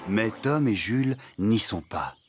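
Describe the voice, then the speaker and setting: A man's voice: fairly low pitch, animated, a little slow. A man narrating a children's cartoon story from a script. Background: prominent music.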